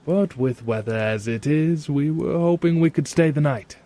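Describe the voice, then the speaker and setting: A man playing a weary, polite but easily exasperated traveler in a fantasy audio drama, with a theatrical, halting manner. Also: on the high side, mellow, natural voice. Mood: polite, hesitant.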